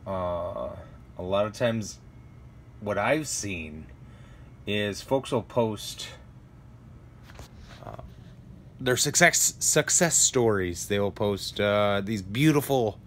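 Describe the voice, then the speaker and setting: A man's voice: lowish voice, slight background noise. A man in his thirties vlogging from a small home workshop, rambling and self-mocking, with lots of fillers and restarts, recorded on a phone held close. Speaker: hobby woodworker. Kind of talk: casual self-deprecating workshop vlog. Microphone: phone camera held close.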